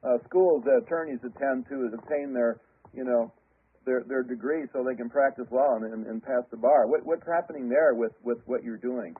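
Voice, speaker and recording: Male radio host, agreeable and halting, husky tone, narrow lo-fi audio. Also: medium pitch. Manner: agreeable, short affirmations, halting questions. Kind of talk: radio interview. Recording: lo-fi phone-like broadcast audio.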